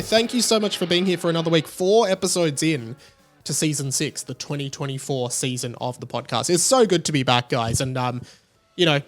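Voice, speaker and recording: An Australian man hosting a solo podcast in a casual, rambling way, often interrupting himself and drifting into tangents. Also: fairly high pitch. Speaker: podcast host.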